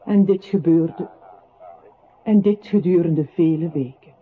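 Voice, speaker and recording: An actor playing a grave narrator in a radio drama, telling of a lonely man's downfall in measured, literary phrases with a husky voice. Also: fairly high pitch. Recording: muffled phone-like old radio recording.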